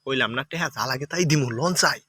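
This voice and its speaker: A man's voice: mid-range voice, fast talker, bright, forceful tone, a little hiss or hum, natural voice. A man acting a pushy, lecherous village man in a comedy sketch, nagging and repetitive, with a rural Bangladeshi Bengali accent. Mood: pushy, wheedling. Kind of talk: improvised comic dialogue.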